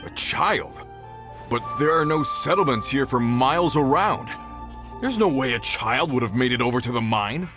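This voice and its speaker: An actor playing a worried, practical mine boss who asks direct questions. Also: male voice, medium pitch, animated, moderate pace, over music, close to an everyday voice. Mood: concerned. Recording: game soundtrack faint behind.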